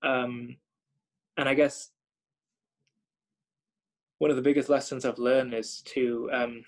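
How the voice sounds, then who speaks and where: A man's voice: medium pitch, level tone, slow. A young man from Thailand working as a hospital doctor, talking thoughtfully with many fillers and repeated starts. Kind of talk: reflective conversation.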